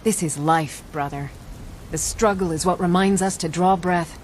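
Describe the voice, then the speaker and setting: A woman's voice: fairly low pitch, moderate pace, a little mellow, a little hiss or hum, natural voice. A woman voicing a proud, eager warrior devoted to her warband in a fantasy video game. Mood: proud, admiring. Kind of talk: video game character acting.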